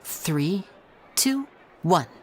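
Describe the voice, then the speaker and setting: A woman's voice: on the low side, sing-song, very slow, a little mellow, exaggerated voice. A woman voicing a confident, showy young male magician in a video game, polite and theatrical. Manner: theatrical, polite, smooth-talking.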